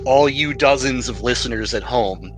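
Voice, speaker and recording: A man acting as game master, narrating and bantering casually in a wry, deadpan way. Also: medium pitch, moderate pace, poor audio. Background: music.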